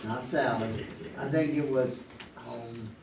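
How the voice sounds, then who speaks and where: A man's voice: mid-range voice, steady tone, slow, far from the mic, music in the background. Male quiz contestant, casual and hesitant, off-mic in an echoey space with faint noise. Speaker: game show contestant. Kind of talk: casual conversational answering.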